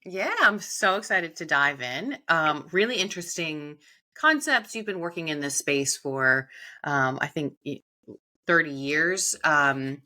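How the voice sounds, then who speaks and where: A woman's voice: deep voice, animated, a little slow, sharp tone. A woman hosting a podcast, upbeat and enthusiastic, reading a scripted intro and then chatting with her guest. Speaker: podcast host.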